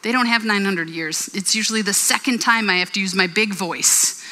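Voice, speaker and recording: Bright-voiced woman preaching in a hall. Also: mid-range voice, moderate pace. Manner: earnest, conversational preaching with personal stories. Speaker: pastor. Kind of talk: sermon. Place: church hall. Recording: reverberant church hall.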